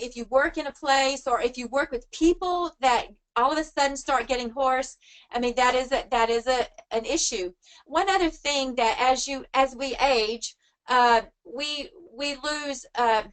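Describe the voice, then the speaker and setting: Female vocal coach, middle-aged, high register, chatty anecdotal teaching style. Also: fairly bright tone, rough recording. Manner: conversational, acts out quoted remarks. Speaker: vocal coach giving a webinar. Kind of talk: informal teaching with personal anecdotes.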